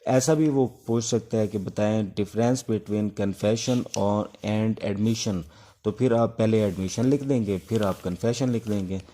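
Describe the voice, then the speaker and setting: A man with a Pakistani accent giving an informal exam-prep law lecture, explaining things step by step with hesitant pauses. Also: on the low side, mellow. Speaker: law teacher. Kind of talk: informal exam-prep lecture in Urdu mixed with English legal terms.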